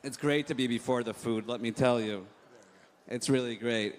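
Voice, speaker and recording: A man with an American accent hosting an onstage conference interview, rambling and halting with many fillers. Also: mid-range voice. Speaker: host. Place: large hall on stage. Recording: reverberant hall, faint noise.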